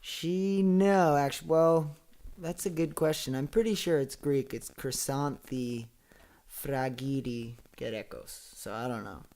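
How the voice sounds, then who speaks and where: A man's voice: medium pitch, steady tone, measured pace, darkish tone. A man with an American accent talking casually as a musician guest in an interview. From United States. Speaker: podcast guest, singer-songwriter.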